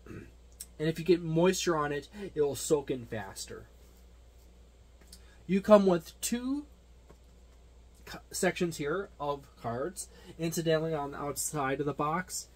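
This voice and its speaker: Guy with a slightly high voice doing an off the cuff product overview, kinda halting, keeps going on tangents. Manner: halting, digressive delivery. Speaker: tabletop gaming reviewer. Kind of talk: casual unscripted product overview.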